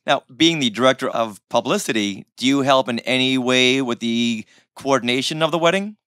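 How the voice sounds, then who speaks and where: A man's voice: medium pitch, normal speed, a bit bright, clear, resonant tone, no echo, clean studio sound. A man co-hosting a podcast interview in a casual, joking way. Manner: joking, playful.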